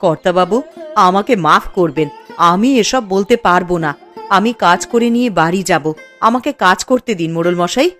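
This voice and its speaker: A woman's voice: lowish voice, normal speed, a bit bright, natural voice. A woman voicing a humble, deferential housemaid and mother who speaks guardedly in a cartoon. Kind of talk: Bengali moral-story cartoon dubbing. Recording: music under the voice.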